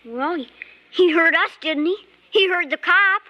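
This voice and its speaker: A scrappy young boy in a vintage radio drama, extremely high and piercing. He talks in brash slang with a defiant, grumbling temper and a forceful edge. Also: measured pace, lo-fi sound, heavily acted. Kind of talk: old-time radio drama acting.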